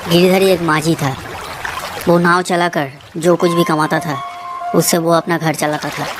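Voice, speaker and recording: Low-voiced woman narrating, over clear noise. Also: steady tone, a little slow. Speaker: story narrator. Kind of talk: scripted horror story narration. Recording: noisy track with running water sounds.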